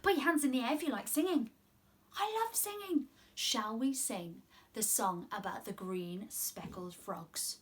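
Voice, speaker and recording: A British woman in her twenties hosting children's story time, speaking in an animated, sing-song way with exaggerated expression. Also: fairly high pitch, measured pace. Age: 20s. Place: small room at home, indoors.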